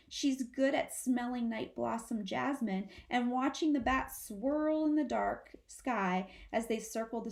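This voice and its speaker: A woman reads a children's story in a somewhat high voice. Her narration is expressive, in the style of a teacher reading aloud to young listeners. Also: creaky.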